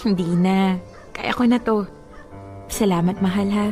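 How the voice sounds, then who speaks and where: A woman's voice: medium pitch, fairly even intonation, a little slow, a little mellow, lightly acted. A woman playing a loving, wistful young wife in a Filipino radio drama. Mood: tender. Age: 20s. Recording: prominent music bed behind the voice.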